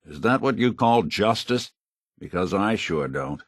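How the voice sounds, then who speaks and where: World-weary robot gumshoe, husky American voice, low pitch, wry and principled, noir video game dialogue. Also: male voice, expressive, a little slow, no room sound, clean studio sound. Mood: indignant. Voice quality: gravelly, husky. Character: world-weary synth private detective, middle-aged man, principled.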